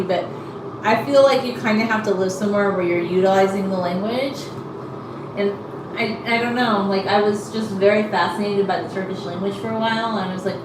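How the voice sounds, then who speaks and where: A woman's voice: medium pitch, echoey room, distant mic, background noise. A woman chatting casually in a rambling way with many fillers while she combs out her hair. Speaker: vlogger.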